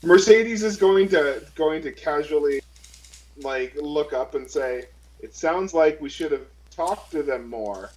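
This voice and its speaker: A man's voice: on the high side, animated, a little slow, a little mellow, poor audio. A man chatting and role-playing casually as a player in a tabletop game.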